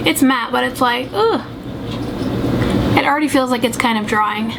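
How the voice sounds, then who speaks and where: A young woman with a high, sniffly, congested voice chats casually while she does her makeup at a table at home. She rambles and doubts herself, and there is clear noise behind her. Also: normal speed. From American South. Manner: rambling and self-doubting, full of fillers. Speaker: beauty vlogger. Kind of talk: chatty get-ready-with-me makeup tutorial. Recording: noisy home recording.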